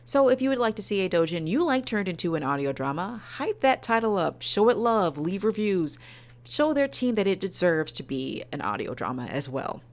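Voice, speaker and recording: A woman hosting a podcast review in an enthusiastic, emphatic and playful way. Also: sits low. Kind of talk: semi-scripted podcast review.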